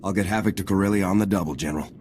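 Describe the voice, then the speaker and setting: An actor playing a composed, dutiful male army major in a video game, with a brisk, businesslike military manner. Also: deep voice, a little mellow, slight background noise, lightly acted.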